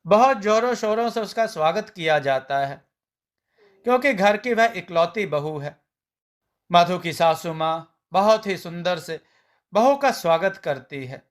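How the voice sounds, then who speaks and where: A man with a slightly high voice tells a scripted family story. His delivery is very slow and measured, in the manner of a storybook narrator. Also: animated.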